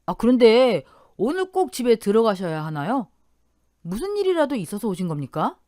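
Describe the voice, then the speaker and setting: A nervous, evasive real estate broker, read by a woman narrator, hesitating between words in a lively, fairly high voice. The recording is dry and close. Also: normal speed, no echo. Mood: uneasy.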